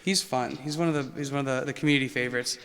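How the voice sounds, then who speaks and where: A man's voice: mid-range voice, fairly even intonation, racing, fairly bright tone, slight background noise. A man casually teaching a tabletop miniatures game in an informal, enthusiastic, slangy way. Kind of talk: casual explanation during gameplay.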